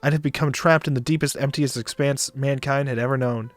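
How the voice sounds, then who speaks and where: A man doing a dramatic narration of a visual novel for a stream, expressive, sometimes stumbling and joking. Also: medium pitch, brisk, no room sound. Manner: expressive, stumbles and rereads lines. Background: music behind the voice.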